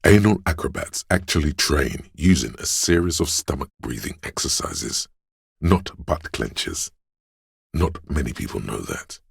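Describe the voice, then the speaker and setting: A man voicing a learned, pedantic inner voice for a video game, narrating trivia in an erudite, measured, matter-of-fact way with dry asides. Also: very animated, no echo, heavily acted. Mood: dry, corrective. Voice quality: very deep, husky.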